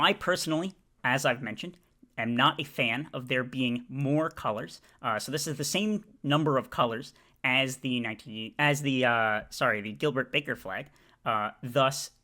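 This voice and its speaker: Guy with a bright kinda sharp voice, casual commentary, lots of uhs and restarts. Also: medium pitch. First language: English. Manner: halting, many "uh" fillers and restarts. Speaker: video creator ranking flags.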